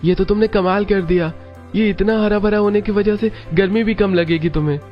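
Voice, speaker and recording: A man's voice: sits high, steady tone, brisk, natural voice. A man playing a kind, supportive young husband in a cartoon family story, in a dull recording with music behind. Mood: admiring. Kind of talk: Hindi cartoon story dialogue.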